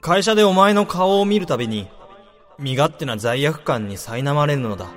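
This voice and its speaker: A man's voice: a little high, expressive, moderate pace, long reverb tail, faint music behind, natural voice. An actor playing a young male section chief with a guilty conscience in a radio drama. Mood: guilt-ridden. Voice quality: breezy at first, then anguished inner monologue.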